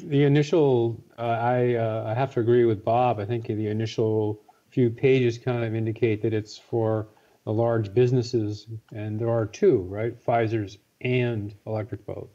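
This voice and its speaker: Flat, mellow older man. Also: medium pitch, unhurried. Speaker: committee member. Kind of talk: meeting discussion. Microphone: earbuds on video call. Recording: dull lo-fi video call audio.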